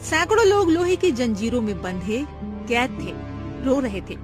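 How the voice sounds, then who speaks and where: Animated high-pitched woman storyteller. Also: unhurried, faint music behind. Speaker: narrator. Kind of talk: dramatic scripted story narration.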